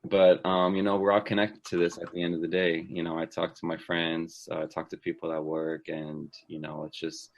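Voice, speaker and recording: A man's voice: low voice, level tone, normal speed, hardly any pauses. A man in his twenties from Texas, chatting cheerfully as a guest on a laptop video call. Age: 20s. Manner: upbeat, chatty, with soft laughs. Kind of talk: casual video-call chat.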